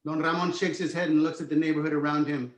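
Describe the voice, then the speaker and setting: A man's voice: on the high side, steady tone, fast. A man reading scripted stage directions as narrator of a screenplay table read. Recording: echoey room.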